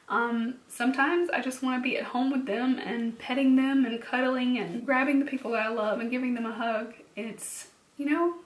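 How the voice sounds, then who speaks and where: A young woman talking to camera in a personal vlog, earnest and reassuring, with ums and soft laughs, her voice hoarse and a bit cracked. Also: fairly high pitch, reverberant, distant mic. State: losing her voice, slightly cracking. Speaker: beauty vlogger.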